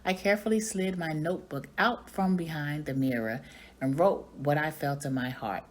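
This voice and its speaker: A woman reading a children's story aloud like a teacher, with expressive narration. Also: low voice, moderate pace.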